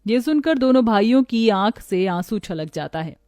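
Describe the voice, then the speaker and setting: Female narrator, high mellow voice, melodramatic scripted storytelling, close dry sound with faint music. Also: lively intonation, normal speed, dry recording. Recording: music behind the voice.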